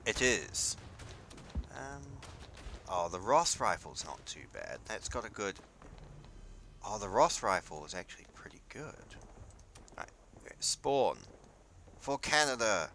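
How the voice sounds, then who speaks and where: A man's voice: lowish voice, very animated, slow, long pauses, pushed and bright, slight background noise. A young man with a New Zealand accent giving casual gameplay commentary and chatting. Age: young adult. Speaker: host of a gaming video. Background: faint game gunfire and artillery.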